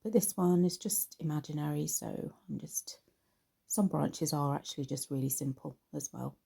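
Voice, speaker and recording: Low, croaky woman narrating a painting tutorial. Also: normal speed, mellow. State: slightly croaky after a cold. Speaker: art tutorial host.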